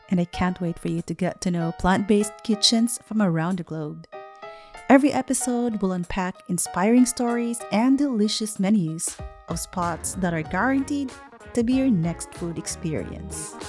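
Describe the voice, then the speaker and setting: A woman's voice: medium pitch, moderate pace, a little mellow, soft background music. A woman hosting a podcast, reading an upbeat scripted intro and then chatting in a welcoming way with her guest. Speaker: podcast host.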